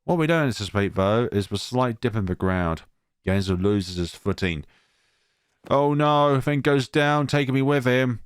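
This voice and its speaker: A man reading a visual novel aloud as dramatic narration, expressive and reacting as he goes. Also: lowish voice, normal speed, smooth, no room sound, studio quality. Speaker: let's player reading a game aloud. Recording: music behind the voice. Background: game music.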